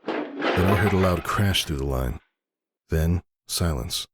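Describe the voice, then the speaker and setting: A man's voice: deep voice, takes it slowly, over music. A man playing a brooding, anxious stallion husband, telling his tale in a somber, dramatic audiobook style. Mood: tense recollection. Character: brooding male pony husband, anxious and suspicious.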